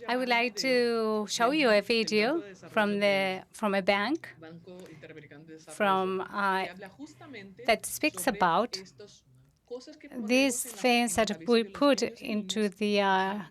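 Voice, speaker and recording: A woman's voice: medium pitch, fairly even intonation, takes it slowly, fairly bright tone. A woman interpreting live at an online conference, hesitant and often correcting herself mid-phrase. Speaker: simultaneous interpreter. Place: remote online session.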